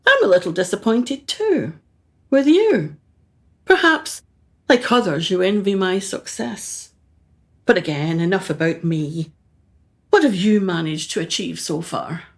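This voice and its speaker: An actress playing a haughty, self-absorbed successful painter in a radio play, arch and condescending. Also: female voice, medium pitch, animated, unhurried.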